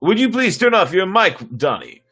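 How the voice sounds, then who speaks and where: A man's voice: sits high, big pitch swings, moderate pace, fairly bright tone, breathy edge, pitched above the performer's own voice, heavily acted. A man playing a stern, sardonic starship captain with a dry, commanding manner in a sci-fi story reading.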